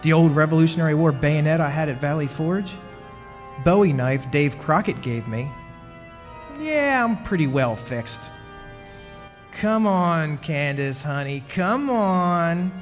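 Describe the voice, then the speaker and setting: A man's voice: fairly high pitch, animated, unhurried. A man acting in a radio play as a muttering, gloating husband who talks to himself in mock-sweet endearments. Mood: gloating, scheming. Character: muttering, gloating husband hunting his witch wife. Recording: echoey hall, music behind.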